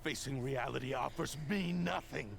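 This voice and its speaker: A man voicing a proud, obsessive, menacing cursed sword spirit in a video game, with gravelly, haughty, archaic declarations. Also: medium pitch, moderate pace, background noise. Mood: bitter, menacing. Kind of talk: dramatic video game voice acting.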